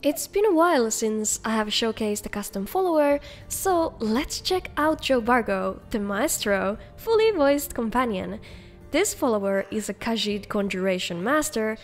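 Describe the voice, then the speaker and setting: High-pitched woman narrating a gaming showcase. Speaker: video host and narrator. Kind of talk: scripted narration of game mod showcase.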